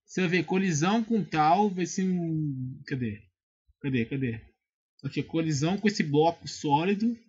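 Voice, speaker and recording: Husky, higher-voiced Brazilian man giving a tutorial. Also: animated, moderate pace, a little mellow, muffled recording. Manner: informal, repetitive check-in phrases. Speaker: tutorial maker. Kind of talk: casual screen-recorded software tutorial. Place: indoors at a computer.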